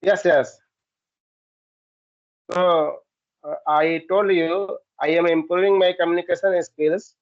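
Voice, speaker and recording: A man whose first language is Hindi, speaking beginner English with an Indian accent, pausing often with "uh". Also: high-pitched, steady tone, no room sound. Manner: halting beginner English, many fillers. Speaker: English learner. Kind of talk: casual practice conversation. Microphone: app call. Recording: dull, dry call audio.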